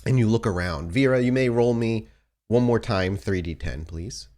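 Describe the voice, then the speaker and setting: A man with a low voice acts as game master for a tabletop roleplaying game. He describes scenes in detail on the fly, often stopping to reword a phrase as he guides the players. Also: moderate pace, darkish tone. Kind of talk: improvised scene narration and game refereeing.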